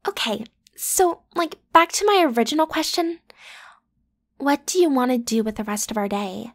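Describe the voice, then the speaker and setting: A woman's voice: sits high, normal speed. A woman playing a bratty, playful popular student girl in an ASMR roleplay, with casual, slangy, teasing delivery. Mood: coaxing. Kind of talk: ASMR roleplay acting, one side of a conversation.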